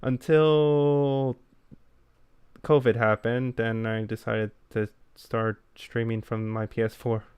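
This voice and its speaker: A young man co-hosting a casual podcast chat, talking in a low-key, plain and self-deprecating way. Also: level tone, slow pace, clean tone, no echo, muffled recording. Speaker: podcast co-host.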